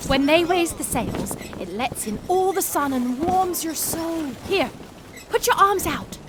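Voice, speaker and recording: High-voiced, free-spirited young seafaring woman. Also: expressive, unhurried, background noise. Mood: dreamy, encouraging. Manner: wonder-filled, poetic, effusive. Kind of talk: Regency-era audio drama acting. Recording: music bed behind the voice.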